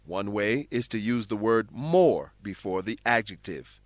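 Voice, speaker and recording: A man narrating a scripted English lesson in a deliberate, explanatory manner, like a teacher. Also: on the low side, lively intonation, dry recording.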